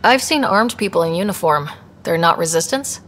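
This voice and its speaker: Young female sci-fi explorer, slightly low voice, direct, inquisitive, game dialogue, faint ambience. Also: animated, normal speed, a bit bright, a little hiss or hum. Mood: curious, earnest. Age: young adult. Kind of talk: video game dialogue acting.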